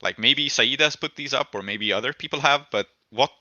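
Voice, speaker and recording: Bright-voiced man hosting, dry dull recording. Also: mid-range voice, brisk, dry recording. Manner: inquisitive, prompting others with questions. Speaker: host and game facilitator. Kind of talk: casual tabletop roleplay discussion.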